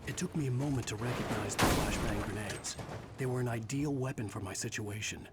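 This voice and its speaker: Guy playing brooding haunted writer narrating a thriller game, mid pitch, noisy background. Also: brisk, lightly acted. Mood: brooding, tense. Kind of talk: scripted first-person thriller narration.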